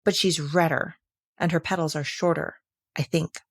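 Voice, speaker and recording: A woman's voice: deep voice, fairly even intonation, moderate pace, no echo, studio quality. A woman voicing a haughty, blunt rose in a storybook, with a snooty and severe manner. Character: haughty, blunt, condescending female talking rose flower.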